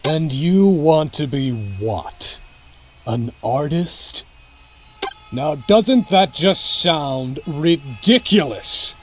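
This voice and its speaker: A man playing a domineering retired rap star father in an animated game cutscene, mocking and theatrical as he draws out his words. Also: on the high side, a little hiss or hum. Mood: scornful. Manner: mocking, theatrical, drawn-out delivery.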